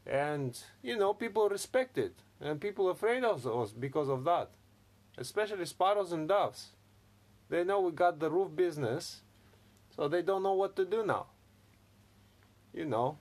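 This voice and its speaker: Russian hustler guy, a man putting it on, deadpan and menacing, bit high. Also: moderate pace. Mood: boastful. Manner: broken English, threatening, deadpan. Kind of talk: improvised comedy banter.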